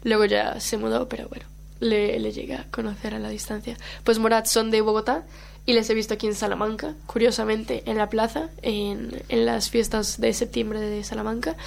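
A woman's voice: fairly high pitch, little pitch movement, normal speed, vocal fry, a little hiss or hum. A woman from Colombia whose first language is Brazilian Portuguese, chatting casually as a guest and laughing often. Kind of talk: casual chat.

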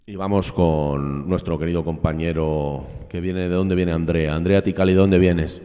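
A man's voice: sits low, fairly even intonation, normal speed, mellow. A middle-aged man with a Spanish accent from Spain hosting an informal radio interview in a genial, slightly ceremonious way. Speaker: host of a school radio programme. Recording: long hall-like reverb.

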